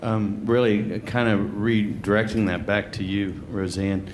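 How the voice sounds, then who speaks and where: A man in the audience speaks in a very deep voice and stumbles hesitantly through his question with many fillers. A faint hiss sits under him. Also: steady tone, measured pace. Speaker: audience member asking a question.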